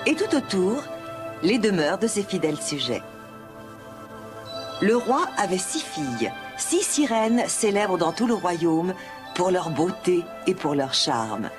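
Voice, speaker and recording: A woman storyteller giving scripted fairy-tale narration for a children's animated film. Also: lowish voice, slow, over music.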